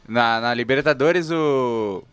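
A man's voice: mid-range voice, takes it slowly, fairly bright tone, clean tone. A young man with a São Paulo accent, a student panelist arguing his football pick in a casual radio debate. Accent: São Paulo Portuguese. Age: young adult. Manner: insistent, argues his pick.

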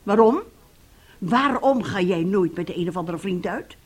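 Middle-aged matriarch, radio play, domineering, self-righteous, sharp-tongued, rough husky voice. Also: female voice, lowish voice, expressive, moderate pace. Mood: indignant, scolding. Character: domineering, bitter, scheming middle-aged mother and aunt.

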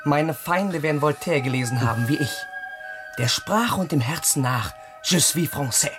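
A man plays a young Prussian crown prince in a radio drama. He is proud and defiant, declaiming theatrically in a fairly high young male voice. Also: lively intonation, normal speed, over music, lightly acted. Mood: haughty, boastful. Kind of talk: German radio drama acting.

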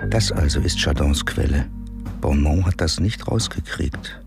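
An actor playing a ruthless, scheming pimp boss in a radio drama, speaking in cold commands. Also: male voice, very deep voice, animated, unhurried, creaky, over music, full character voice.

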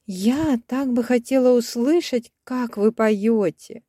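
A woman voicing a polite, quick-witted young girl, the heroine of a fairy tale, in an earnest manner. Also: on the high side, warm tone, dry recording, studio quality.

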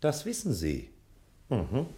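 An actor playing a smug, sly, self-important American private detective in a German radio play, talking pompously. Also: male voice, medium pitch, wildly swooping pitch, slow pace, dark tone. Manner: pompous, speaks of himself by name.

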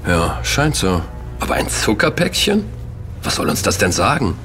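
An actor playing an affable, inquisitive young student in an audio drama, talking things through conversationally. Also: male voice, on the low side, very animated, normal speed, a bit bright, faint music behind. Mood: puzzled. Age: young adult. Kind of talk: German audio drama dialogue.

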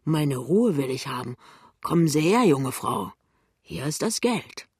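A woman's voice: low voice, lively intonation, a little slow, a little mellow, slightly rough, no room sound, studio quality, exaggerated voice. A woman voicing a tipsy, breezy, good-natured middle-aged man in an audiobook novel, rambling jovially. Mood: brusque generous.

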